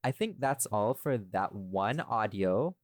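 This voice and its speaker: Low-voiced man, emphatic podcast host. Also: animated, slow, no room sound, clean studio sound. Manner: emphatic, addresses listeners directly. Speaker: podcast host and audio drama creator. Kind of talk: casual podcast talk, explaining creative choices.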